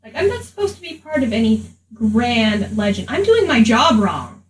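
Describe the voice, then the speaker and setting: A woman's voice: a little high, very animated, moderate pace. A woman playing a cheeky, chatty adventurer in an improvised tabletop game, with a wry, teasing way of talking. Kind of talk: improvised tabletop roleplay in character. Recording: roomy, distant mic, faint noise.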